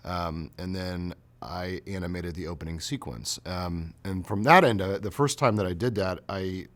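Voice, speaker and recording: Male college instructor, deep voice, casual explanatory interview talk. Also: moderate pace. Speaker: college teacher being interviewed.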